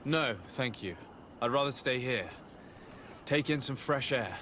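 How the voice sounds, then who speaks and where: Measured game hero, mid-pitched. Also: male voice, pushed and bright, a little hiss or hum. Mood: calm, probing. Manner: measured, polite, earnest. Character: calm, diplomatic male adventurer investigating abuses. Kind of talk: video game cutscene acting.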